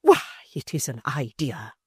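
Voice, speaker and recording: A woman reading an audiobook, voicing a haughty, indignant middle-aged lady with a shrill, theatrical, slowly emphatic scorn. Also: huge pitch swings, darkish tone, breathy edge, no echo, clean studio sound, full character voice. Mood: scornful. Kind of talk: audiobook character voice.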